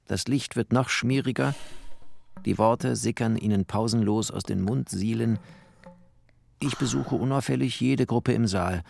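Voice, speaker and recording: A man's voice: medium pitch, unhurried, vocal fry, a little mellow. A man narrating expressively in the first person, literary in style, with a wry, theatrical manner.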